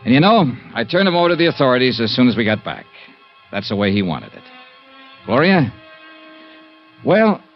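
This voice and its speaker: An American actor playing a tough, wry private investigator with clipped delivery in a 1950s radio detective drama. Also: male voice, mid-range voice, huge pitch swings, normal speed, long pauses, soft background music. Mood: wry narrating. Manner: clipped, wry, hard-boiled. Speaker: lead actor and first-person narrator. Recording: muffled vintage radio recording.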